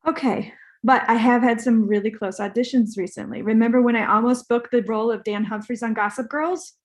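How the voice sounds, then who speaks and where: Naive washed up actor guy voiced by a woman, highish, casual and earnest, comedy table read. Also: fairly even intonation, a little fast, close to an everyday voice. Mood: defensive, hopeful. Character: naive, easygoing fading male movie star.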